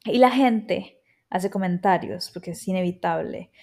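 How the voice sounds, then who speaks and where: A woman's voice: lowish voice, unhurried, dark tone. A woman in her thirties with a Costa Rican accent, hosting a podcast in a reflective, chatty personal monologue. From Costa Rica. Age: 30s. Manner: reflective and chatty, with frequent quoted asides. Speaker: podcast host.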